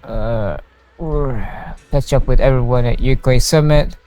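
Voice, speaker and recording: A man's voice: medium pitch, a little slow, mellow, soft background music. A man streaming a game, reading its dialogue aloud and reacting to it.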